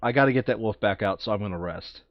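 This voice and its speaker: A man's voice: lowish voice, quick pace, no echo, muffled recording. A man chatting casually while playing a board game, thinking aloud as he works through moves. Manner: thinking aloud, muttering counts. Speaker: tabletop board game player. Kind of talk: casual chat during board game play.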